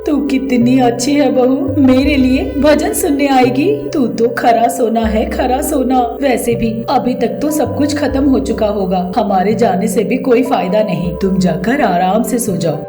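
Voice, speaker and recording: A woman playing a kindly village mother-in-law in a family story, affectionate and gently chiding. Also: on the high side, unhurried, hardly any pauses, lightly acted. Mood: warm, reassuring. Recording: echoey voice over background music.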